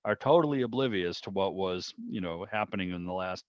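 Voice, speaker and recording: A man's voice: deep voice, a little fast, a little mellow. A man hosting a casual interview, talking in a rambling, discursive way. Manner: rambling, discursive, circles around his point. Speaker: interviewer, club meeting host. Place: indoors on a video call. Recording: muffled, roomy video-call audio.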